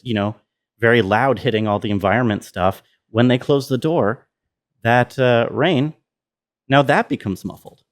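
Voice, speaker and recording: A man explaining sound design step by step in an informal tutorial style, often dropping in "you know" as he goes. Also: medium pitch. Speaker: sound designer presenting a walkthrough.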